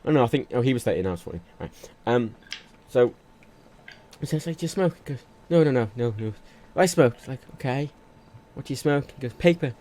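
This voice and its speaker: A man's voice: mid-range voice, expressive, brisk, warm tone. A man telling casual anecdotes as a livestream co-host, rambling, repeating himself and given to giggling.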